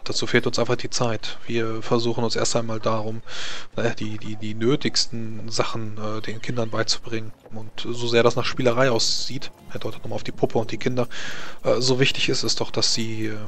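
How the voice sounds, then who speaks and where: A man improvising in character as a tabletop game master, explaining things in a halting way. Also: mid-range voice, little pitch movement, a bit bright, slight background noise.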